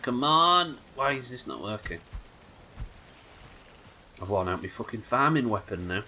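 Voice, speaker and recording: A man with a nasal voice, a little hoarse from illness, chatting wryly and swearing casually while streaming a game live. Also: mid-range voice, animated, a little slow, sharp tone, a little hiss or hum. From United Kingdom. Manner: chatty, wry, swears casually, muttering asides. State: sick, slightly hoarse.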